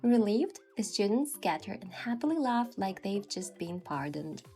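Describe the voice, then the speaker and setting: Gentle woman narrating a romantic story. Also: mid-range voice, very animated, moderate pace, soft background music. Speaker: narrator and heroine voice-over. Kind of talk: scripted first-person story narration.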